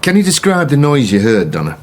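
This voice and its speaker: A calm, patient memory specialist. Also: male voice, mid-range voice, slight background noise. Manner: gentle, measured, soft-spoken. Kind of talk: radio drama acting.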